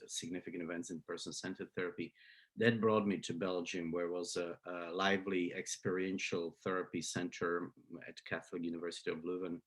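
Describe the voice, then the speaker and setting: A man in his fifties from Slovakia with a Slovak accent, answering interview questions hesitantly with many fillers and restarts. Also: low voice. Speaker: psychology professor, guest.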